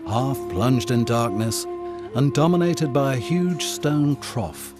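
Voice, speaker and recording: A man giving a dramatic literary reading, with deliberate, theatrical phrasing and weighted pauses. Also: medium pitch. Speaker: reader of novel extracts.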